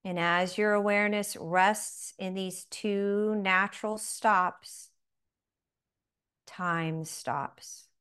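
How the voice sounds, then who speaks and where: A woman guiding a breathing meditation, speaking softly with long pauses between phrases. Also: medium pitch, fairly even intonation, smooth. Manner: slow, soft-spoken, with long pauses. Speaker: meditation guide.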